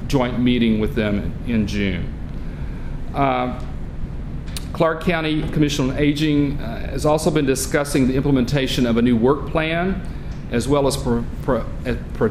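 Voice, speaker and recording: A man chairing a public commission meeting into a room microphone, with a loud voice and a halting delivery full of fillers and restarts. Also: medium pitch, roomy sound, noisy background. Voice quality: self-described loud voice.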